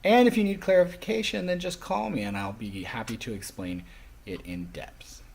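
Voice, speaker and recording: A man voicing a classroom lecture as an interpreter, speaking emphatically in halting phrases with stressed key words. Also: mid-range voice, steady tone, vocal fry, a little hiss or hum.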